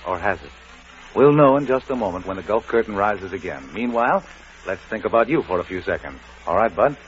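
Theatrical radio narrator, dull vintage sound. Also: male voice, lowish voice, lively intonation, fairly quick, slight background noise. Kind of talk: 1940s scripted radio narration.

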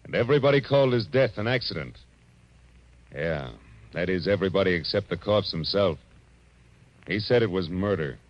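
Deep-voiced, world-weary radio private eye. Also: male voice, lively intonation, normal speed, pauses often, slight background noise. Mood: wry, ominous. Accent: American. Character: weary, tough, reflective private detective, adult man. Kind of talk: 1940s radio drama acting, hard-boiled first-person narration. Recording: dull vintage radio recording, music behind.

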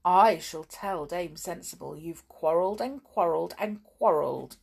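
A woman's voice: fairly low pitch, moderate pace, gravelly, a little mellow, breathy edge. A woman voicing a bossy male goblin in a storybook, retorting in an emphatic, severe way. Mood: defiant.

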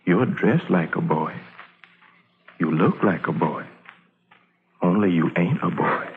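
Low-voiced Southern laborer, old radio. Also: male voice, takes it slowly, vocal fry, exaggerated voice. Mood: quiet warning. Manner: plain folksy speech, steady and cautioning. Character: kindly, wary Southern laborer, protective of a spy. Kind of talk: old-time radio drama acting. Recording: narrow, noisy vintage radio recording.

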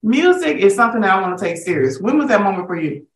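A woman's voice: mid-range voice, sing-song, fast talker, a crowd in the background. A woman hosting a casual interview, chatting in slang and affirming what her guest says. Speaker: interviewer, host. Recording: roomy, echoing voice pickup.

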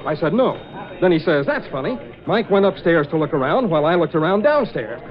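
A man's voice: on the high side, very animated, fairly quick. An actor playing a brash young prankster of a newspaperman, chatty and slangy, in 1940s radio style. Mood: uneasy explaining. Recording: dull old radio recording, chatter behind.

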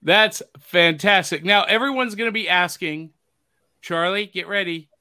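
A man's voice: high-pitched, unhurried, bright, forceful tone, smooth, dry recording, studio quality. A man hosting a pop culture podcast, upbeat and jokey in casual chat. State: hoarse from overuse. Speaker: podcast host.

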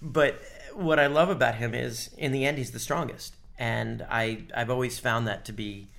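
A man, a series co-creator, explaining in casual interview talk, wry and quick with mock-quoted exchanges. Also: medium pitch, steady tone, gravelly, a bit bright.

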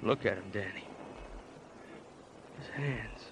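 An actor playing an urgent, dutiful police detective sidekick, brisk and commanding, in an old-time radio crime drama. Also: male voice, on the low side. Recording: noisy vintage radio recording.

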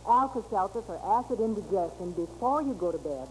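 An actress plays a knowing wife in an old radio commercial. Her medium-pitched voice sounds assured as she gives practical advice. The vintage recording is faintly noisy. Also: lively intonation, brisk, darkish tone, close to an everyday voice.

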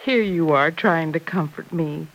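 Middle-aged mother, gentle, anxious, soothing, earnest, old-time radio drama, vintage recording. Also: deep voice, unhurried, gravelly, exaggerated voice, low-quality recording. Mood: anxious, consoling.

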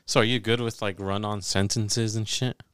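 A man's voice: on the low side, little pitch movement, moderate pace, a bit bright, smooth, no echo, studio quality. A man in casual chat, laid-back and asking curious questions. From Mexican family.

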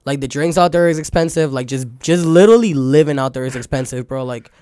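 Young man, Venezuelan accent, Spanish first language. Clear, smooth tone, dead-dry booth sound, rambling casual storyteller. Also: a little high, fast talker, no room sound. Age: young adult. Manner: rambling, frequent "like" fillers, relaxed storytelling. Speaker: podcast guest. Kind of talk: casual chat.

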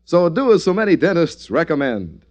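A man's voice: on the high side, big pitch swings, normal speed. A male announcer giving a polished, persuasive scripted read in the manner of 1940s radio advertising. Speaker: commercial announcer. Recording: muffled old radio recording.

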